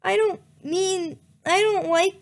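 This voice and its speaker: A woman's voice: extremely high pitch, fairly even intonation, slow, creaky, pitched above the performer's own voice, exaggerated voice. A woman voicing a nervous, awkward young tomcat who stammers, read aloud from a fan story.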